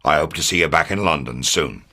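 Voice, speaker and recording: A man playing a grave, authoritative military officer and documentary narrator in a game, speaking in a measured, scripted style. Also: extremely low pitch. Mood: solemn, resolute. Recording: music bed under the voice. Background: loud dramatic music.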